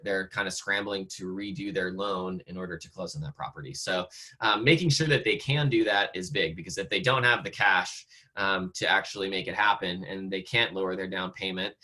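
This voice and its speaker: A man with an American accent, slightly hoarse, teaching real estate agents over a video call in a casual way, with lots of fillers and restarts. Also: on the low side, pushed and bright. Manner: conversational, many fillers and restarts. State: slightly hoarse, losing his voice. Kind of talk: online training talk.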